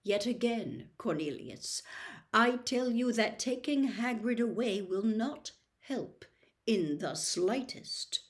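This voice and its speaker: Woman playing a hushed, grave old wizard. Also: on the high side, lively intonation. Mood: firm, displeased. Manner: quiet, measured, firm. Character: grave, calm, elderly male wizard headmaster.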